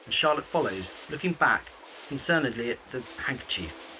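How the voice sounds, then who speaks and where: A man's voice: mid-range voice, animated, normal speed, noisy background. A man narrating an audio drama, reading scripted scene descriptions in the present tense.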